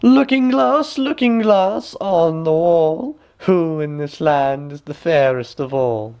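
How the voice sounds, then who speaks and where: A man voicing a wicked, vain old queen who coaxes slyly in a theatrical, drawn-out way for a storybook. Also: on the high side, darkish tone, smooth, pitched above the performer's own voice. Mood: vain, demanding.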